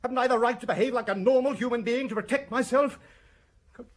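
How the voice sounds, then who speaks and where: A man acting a weary, defensive murder suspect in an audio drama, a husband who speaks formally and haltingly. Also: very high-pitched. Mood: agitated, indignant. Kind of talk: dramatized detective radio drama dialogue.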